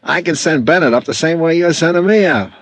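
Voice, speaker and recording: A man's voice: fairly high pitch, lively intonation, brisk, no room sound. An American man playing a cynical, cornered professional arsonist, sullen and slangy, in a 1950s radio crime drama. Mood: vengeful. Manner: sullen, slangy, tough-guy.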